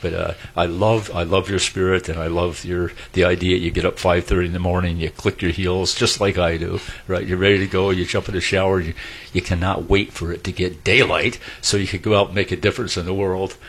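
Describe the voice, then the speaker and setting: A man's voice: deep voice, little pitch movement, a little fast, a little hiss or hum. An older man hosting a radio show, folksy and joking in casual chat. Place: radio studio.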